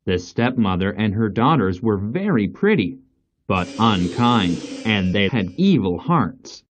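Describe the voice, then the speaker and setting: A man reading a scripted fairy tale as storyteller, in a slow, deliberate, expressive way. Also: fairly low pitch, a little hiss or hum.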